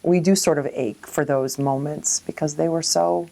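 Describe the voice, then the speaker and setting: A woman with a very low voice speaks reflectively in an interview setting. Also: fairly even intonation, normal speed, gravelly, warm tone.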